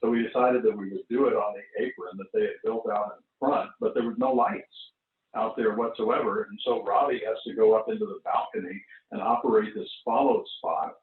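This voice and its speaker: A man's voice: on the low side, steady tone, fairly quick. A man in his seventies, an actor casually reminiscing in an anecdotal, chuckling storytelling way. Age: 70s. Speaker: actor recalling a stage show. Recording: roomy, distant, tinny phone-like call audio.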